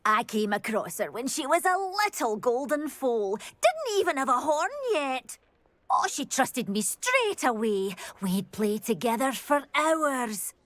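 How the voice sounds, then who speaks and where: An actress playing an anxious, tender-hearted game character who dotes on a beloved creature, speaking in a fretful, earnest, effusive way. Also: female voice, fairly high pitch, sing-song, unhurried, a bit bright, no echo. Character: anxious, tender-hearted woman fretting over her beloved unicorn. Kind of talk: video game character dialogue.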